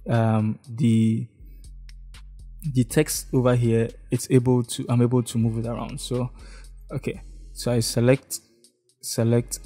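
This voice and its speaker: A young man from Ghana with a Ghanaian English accent, talking step by step through a screen-recorded design tutorial and often checking in with "okay?". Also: medium pitch. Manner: relaxed, step-by-step, frequent "okay?" check-ins. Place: indoor room, face in webcam corner.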